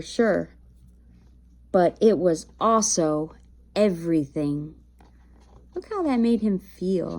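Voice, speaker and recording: Lady doing a kids story read aloud, mellow soft-edged voice, unhurried, really acts out the words. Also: mid-range voice. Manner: animated, with acted sound effects and emphatic drawn-out phrases. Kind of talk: expressive children's picture-book read-aloud with chatty asides to listeners.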